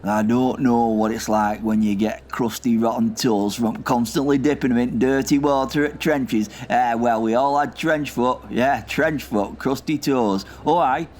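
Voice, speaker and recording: A man's voice: mid-range voice, darkish tone, slight background noise, close to an everyday voice. A man playing a grumpy, rambling old war veteran who curses constantly. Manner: rambling, cursing, confused. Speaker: comic character.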